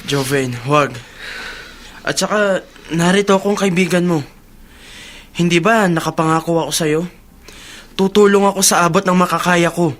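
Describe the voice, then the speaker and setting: Loyal, reassuring friend. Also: male voice, high-pitched, normal speed, pauses often, fairly bright tone. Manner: reassuring, earnest. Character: loyal, encouraging male friend, steady and sincere. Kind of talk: Filipino radio drama acting.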